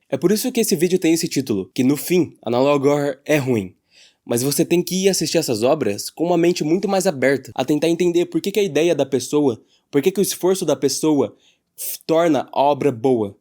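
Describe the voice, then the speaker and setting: Brazilian male YouTuber, slightly high register, informal and slangy, for casual opinion commentary. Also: darkish tone.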